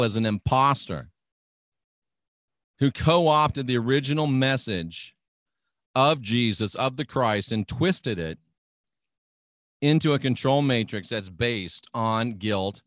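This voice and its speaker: A man's voice: medium pitch, a bit bright, no room sound, clean studio sound. An American man hosting a radio show, delivering an insistent, preachy monologue and stacking up repeated phrases for emphasis.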